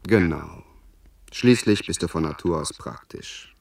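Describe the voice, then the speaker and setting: Radio drama tempter: lowish voice, very animated melody, insistent and persuasive, recorded at some distance. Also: male voice, a little slow, creaky, heavily acted. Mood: persuasive, approving. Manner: persuasive, insinuating, theatrical. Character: smooth-talking, insistent inner tempter urging a young lord to duty. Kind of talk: German radio play acting.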